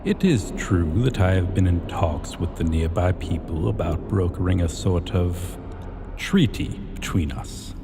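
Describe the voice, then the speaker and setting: A man voicing an arrogant, possessive dragon king in a fantasy ASMR roleplay, speaking in pompous, deliberate, archaic formal phrases. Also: deep voice, over music. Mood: smug. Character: arrogant, possessive male dragon king, ancient hoard-keeper. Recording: long cavernous reverb, faint noise.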